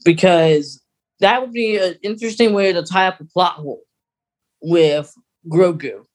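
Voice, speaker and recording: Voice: mid-range voice, unhurried. A podcast host talking through fan news in a casual, conversational chat.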